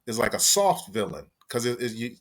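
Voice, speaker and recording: Lively laid-back male podcast host. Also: mid-range voice, moderate pace. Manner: laid-back, laughs easily, slangy. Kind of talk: casual chat.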